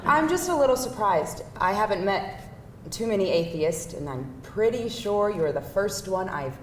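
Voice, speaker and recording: A woman's voice: medium pitch, animated, normal speed, lightly acted. A woman playing a candid, feisty date with a hesitant, conversational delivery at a live screenplay table read. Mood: candid, tentative. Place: indoor room before a live audience. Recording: echoey room, distant mic, faint noise.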